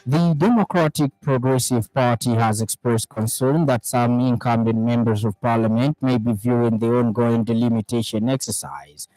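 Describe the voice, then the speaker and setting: A man with a Zambian accent reading a scripted radio news bulletin in a formal, measured manner. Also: medium pitch, fairly even intonation, warm tone, soft background music, rough recording. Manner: formal, measured newsreader delivery.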